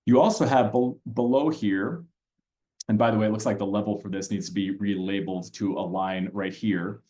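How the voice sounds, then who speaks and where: A man's voice: lowish voice, steady tone, moderate pace, mellow. A man who grew up in Austria and speaks German natively, presenting a reading platform to teachers in a conversational webinar, with many fillers and restarts. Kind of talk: webinar walkthrough with screen sharing.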